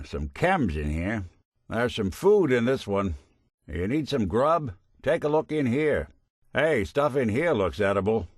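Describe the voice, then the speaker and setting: An actor playing a world-weary, loyal robot detective in a video game, with wry, folksy 1940s private-eye slang. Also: male voice, mid-range voice, no room sound, studio quality. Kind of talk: video game companion voice lines.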